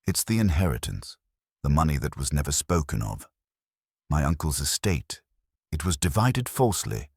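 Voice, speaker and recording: Male narrator as frightened society woman, guilty, anxious, very low, husky, mystery audiobook. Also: very animated, no room sound, clean studio sound, heavily acted. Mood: anxious, confessing. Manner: rushed, anxious.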